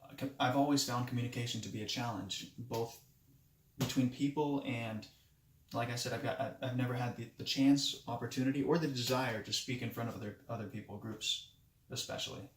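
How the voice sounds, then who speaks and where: A man in his late twenties, from Moldova with Romanian as his first language, giving a halting self-introduction speech for a class, with many restarts. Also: mid-range voice, fairly even intonation, roomy sound, a little hiss or hum, low-quality recording. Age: 20s. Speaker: student.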